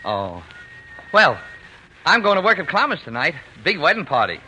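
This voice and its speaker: A man's voice: a little high, wildly swooping pitch, normal speed, sharp tone, exaggerated voice. A man playing an easygoing, affectionate father in 1940s radio drama, on an old recording. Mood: warm, wistful. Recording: muffled old radio recording, faint hiss.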